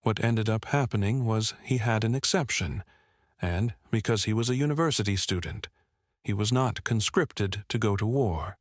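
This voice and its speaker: A man in his fifties from Vietnam speaks in a fairly low voice, recorded dry and close. French is his first language, and he explains his memories as an interviewee, often checking in with the listener. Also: moderate pace, no room sound. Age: 50s. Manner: explanatory, often checks with "right?" and "you know". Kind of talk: oral history interview, personal recollection.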